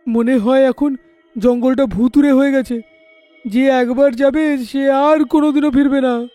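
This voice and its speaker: A distraught village man, played by a male voice actor, pitched very high with a soft, dark tone. He speaks in dramatic laments, as in a cartoon horror tale. The voice is close and dry, over music. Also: fairly even intonation, a little slow, dry recording. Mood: grave, concerned. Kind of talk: animated horror story voice acting.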